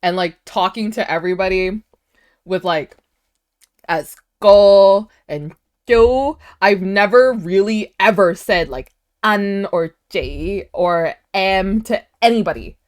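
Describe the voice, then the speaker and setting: A woman, Vietnamese, talks loosely to her stream chat. Her delivery is animated and chatty, peppered with "like" and quick laughs. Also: medium pitch. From United States. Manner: animated, chatty, laughs often, many "like" fillers. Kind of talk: casual livestream chat, reading chat aloud.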